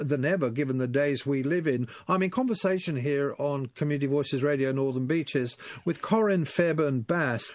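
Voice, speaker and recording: Guy hosting a local radio chat, somewhat high voice, lots of uhs, dead dry room. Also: no echo. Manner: long wordy questions with many fillers. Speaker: community radio host. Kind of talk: radio interview.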